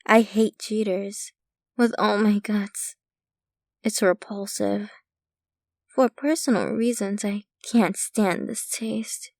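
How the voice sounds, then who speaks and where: A woman playing a possessive, centuries-old vampire girlfriend in an intimate ASMR roleplay, speaking in an unhurried, doting yet casually sinister way. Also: mid-range voice, fairly even intonation, darkish tone, dry recording, clean studio sound. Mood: cold disgust. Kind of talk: ASMR roleplay, intimate scripted monologue to the listener.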